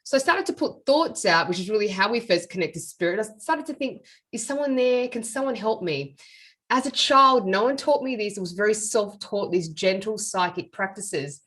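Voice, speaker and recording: A woman's voice: medium pitch, animated, normal speed, fairly bright tone. Earnest woman telling her story. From Australia. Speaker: guest, spiritual teacher and medium. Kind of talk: candid personal storytelling.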